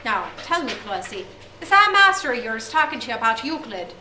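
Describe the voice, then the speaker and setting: A woman's voice: on the high side, very animated, fairly quick, bright, forceful tone, slight background noise, full character voice. A woman voicing a hot-tempered older male schoolmaster who bellows insults. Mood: gruff. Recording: echoey room from far off.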